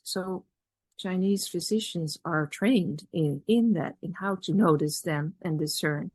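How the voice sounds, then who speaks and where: A woman whose first language is Dutch, giving a measured, reflective academic talk at a conference with pauses and restarts. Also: mid-range voice, darkish tone, slightly rough, no room sound. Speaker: conference speaker.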